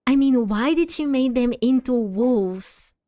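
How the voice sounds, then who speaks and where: A woman's voice: sits high, lively intonation, unhurried, mellow, no echo. A woman streamer giving casual gameplay commentary, musing and thinking aloud close to the microphone. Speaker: streamer playing a story game.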